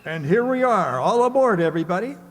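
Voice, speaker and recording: A man's voice: sits high, expressive, a little fast. A man playing a genial uncle who hosts and tells stories for children in old-time radio style. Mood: rousing. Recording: echoey hall with long reverb.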